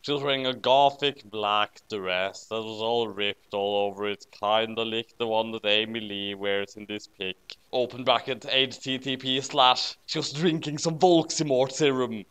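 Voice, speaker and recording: A man's voice: medium pitch, fairly bright tone, clear, resonant tone. A man narrating a story aloud in a dramatic, mock-serious comic reading.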